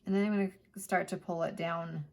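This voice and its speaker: A woman art teacher giving a chatty step-by-step painting lesson, her voice hoarse. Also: lowish voice, steady tone, brisk, darkish tone. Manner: chatty, plain instructional talk.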